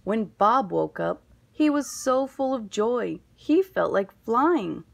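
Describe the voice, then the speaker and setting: Female picture-book reader, slow and deliberate, expressive narration for young children. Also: fairly high pitch, creaky. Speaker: storybook reader.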